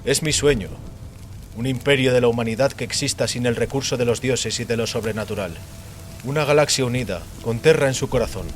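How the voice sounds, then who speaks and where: A man voicing a calm, imperious warrior emperor in dramatized audio fiction, solemn, commanding and utterly self-assured. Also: mid-range voice, fairly quick, natural voice. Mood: calm resolve. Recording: clearly noisy, rain behind voice. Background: rain.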